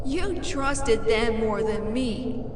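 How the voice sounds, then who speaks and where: A woman acts out a proud captive queen in a fantasy audio drama, her voice on the high side and very slow. She speaks in formal, halting, emotional phrases, wounded by love, in an echoing space with loud ambient noise. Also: lively intonation. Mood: hurt, pleading. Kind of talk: dramatic audio roleplay acting. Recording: echoey room, loud background ambience.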